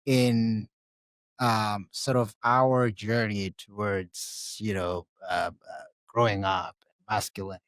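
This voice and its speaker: A man from Tanzania, a Swahili speaker, talking as a guest on a podcast in a measured, reflective way. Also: fairly low pitch, little pitch movement, a bit bright, no room sound. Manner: measured and reflective, pausing to pick words. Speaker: podcast guest, social work scholar. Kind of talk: conversational interview. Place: live recording at a conference.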